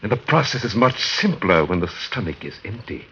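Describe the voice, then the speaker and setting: An actor playing a sinister, coldly polite mad scientist in 1940s radio horror, formal, condescending and commanding. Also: male voice, lowish voice, very animated, normal speed, sharp tone, exaggerated voice. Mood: calmly sinister. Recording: muffled, noisy vintage 1940s radio recording.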